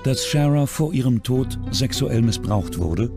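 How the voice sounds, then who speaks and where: A man's voice: medium pitch, lively intonation, a little slow, creaky, over music. A man reading scripted true-crime documentary narration.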